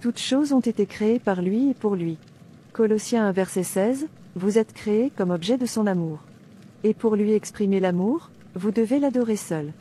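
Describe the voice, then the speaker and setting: A woman's voice: mid-range voice, moderate pace, warm tone, slight background noise, lightly acted. A woman playing a devout, defiant princess in a dubbed cartoon, declaiming earnestly like a preacher. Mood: firm, devout. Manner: declamatory, earnest, citing scripture. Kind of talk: sermon-like dubbed cartoon dialogue.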